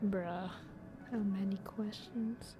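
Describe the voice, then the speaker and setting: A woman's voice: medium pitch, fairly even intonation, slow pace, dark tone. A young adult woman doing casual, laid-back, slangy commentary as a gaming streamer. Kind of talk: casual gameplay commentary, reading dialogue choices aloud. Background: faint game music.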